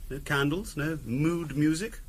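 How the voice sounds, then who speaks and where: A man's voice: a little high, a little slow, slight background noise, close to an everyday voice. An actor playing a dryly amused middle-class man in a 1970s radio drama, flippant and wry.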